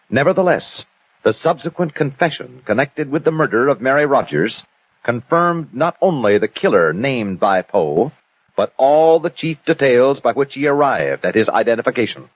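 A man's voice: mid-range voice, dry recording. Dramatic male announcer, tinny vintage radio. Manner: formal, dramatic, crisply phrased. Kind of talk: scripted narration, 1960 radio mystery introduction.

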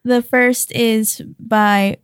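A woman's voice: fairly high pitch, steady tone, very slow, smooth, no room sound, studio quality. A woman co-hosting a podcast, playful and casual as she chats with a group and reads aloud. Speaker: podcast co-host and player. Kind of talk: casual group chat, reading reviews aloud.

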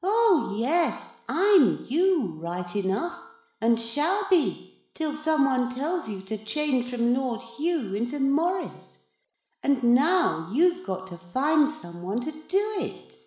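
A woman voicing a smug, gloating cat who has become a boy in a children's story, taunting with drawn-out mock politeness. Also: high voice, sing-song, a little mellow, reverberant, voice pushed up, heavily acted, low-quality recording. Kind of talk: children's storybook character reading.

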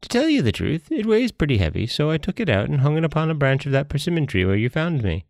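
Storybook monkey, played by a man: high-pitched, clever and excitable, exclaims in old-fashioned phrases, very dry close sound. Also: quick pace, gravelly, dry recording. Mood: alarmed, scheming. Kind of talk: storybook character voice.

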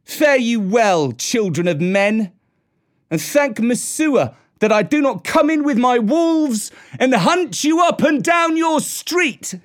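Man voicing a proud, scornful wolf-raised boy, high-pitched. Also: animated, measured pace. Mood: scornful, defiant. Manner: archaic, declamatory phrasing. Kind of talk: storybook character voice.

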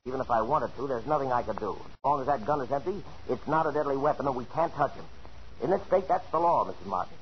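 An actor playing a folksy, patronizing police officer who brushes off worries, in 1940s radio drama style. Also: male voice, mid-range voice, lively intonation, quick pace, close to an everyday voice. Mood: impatient, insistent. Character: breezy, dismissive male police officer soothing a wife. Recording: noisy, muffled old radio recording.

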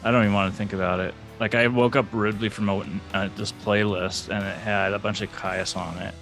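A man with a baritone voice co-hosting a music podcast, rambling through a joking story. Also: level tone, fairly quick, gravelly, fairly bright tone. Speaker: podcast co-host. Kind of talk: casual interview chat.

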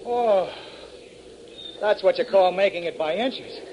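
An actor playing a folksy, good-natured adventurer in a 1940s radio serial, with colloquial exclamations. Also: male voice, sits high, slow pace, darkish tone. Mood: wry, relieved. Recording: noisy old radio, bird sound effects.

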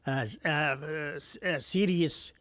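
A man in his seventies speaks English with a Pakistani accent, his first language being Urdu. His voice sits high and his speech moves slowly, stopping often on fillers while he gropes for formal phrasing. The sound is dry and close. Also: fairly bright tone, no echo. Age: 70s. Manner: hesitant, halting, many fillers, formal phrasing. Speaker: interview guest. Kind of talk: family interview.

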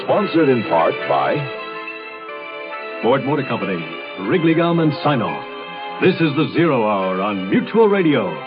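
A man's voice: medium pitch, big pitch swings, measured pace, long pauses. An American man announcing a network programme and its sponsors in 1970s radio style. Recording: dull radio recording under loud music.

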